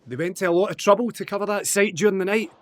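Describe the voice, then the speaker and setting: A man's voice: high voice, rapid-fire, close to an everyday voice. An actor playing an alert, urgent male soldier in the trenches in an audio drama. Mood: curious.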